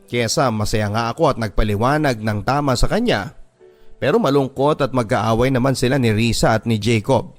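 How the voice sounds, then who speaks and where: A man with a Filipino accent narrating a first-person story as a scripted radio drama. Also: mid-range voice. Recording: music behind the voice. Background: background music.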